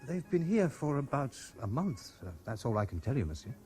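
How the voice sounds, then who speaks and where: An actor playing a brisk, obliging, deferential French hotel servant, in 1970s radio drama style. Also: male voice, medium pitch, sing-song, mellow, faint music behind, lightly acted. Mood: discreet.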